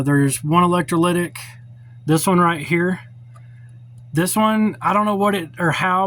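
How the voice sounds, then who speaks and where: A man's voice: a little high, slight background noise. A man giving an informal hands-on technical walkthrough as a tutorial presenter, talking in a folksy, blunt and opinionated way. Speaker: presenter of a radio repair tutorial.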